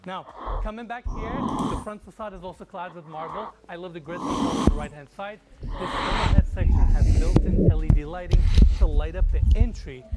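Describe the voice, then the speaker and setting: A man's voice: high voice, normal speed. A man hosting a luxury home tour, enthusiastically walking through and listing the property's features. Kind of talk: scripted property tour narration. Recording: loud background noise in the clip.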